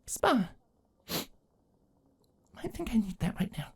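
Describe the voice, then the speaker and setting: A man's voice: high voice, very animated, takes it slowly, vocal fry, husky, pitched above the performer's own voice, heavily acted. A man voicing a troubled young female changeling, proud and wounded, in a fantasy story reading. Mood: shaken.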